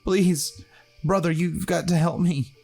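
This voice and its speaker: A man's voice: a little high, fairly even intonation, measured pace, gravelly, a little mellow, soft background music, voice pushed up. A man voicing a frightened, awed sixteen-year-old boy in a fantasy story. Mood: desperate.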